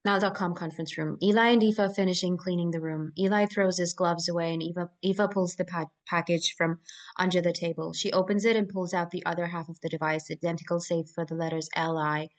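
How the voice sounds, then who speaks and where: A woman narrates a screenplay at a table read in a fairly low voice. She reads stage directions and scene headings as scripted action. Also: steady tone, fairly quick.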